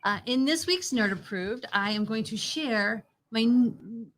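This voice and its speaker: A woman with a fairly high voice co-hosts a review show. She talks in a casual, conversational way and gives her opinions directly, arguing her point as she goes. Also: unhurried, a bit bright, slight background noise. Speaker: co-host of an entertainment review show. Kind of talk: casual film and book review chat.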